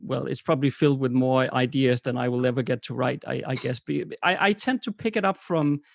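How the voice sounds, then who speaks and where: A middle-aged man with a Danish accent, a guest author on a video call, hesitant and quick to laugh. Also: mid-range voice, vocal fry. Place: home room, indoors. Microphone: headset.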